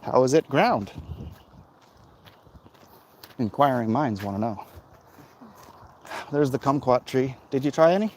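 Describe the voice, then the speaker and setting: A man's voice: mid-range voice, animated, unhurried, long pauses, darkish tone. Chatty, jokey man on a noisy walk. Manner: chatty, jokey, reading out viewer comments. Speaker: livestream host. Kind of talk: casual walk-and-talk vlog chat. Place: outdoors, walking through a neighbourhood. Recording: noisy outdoor recording, clicks and handling.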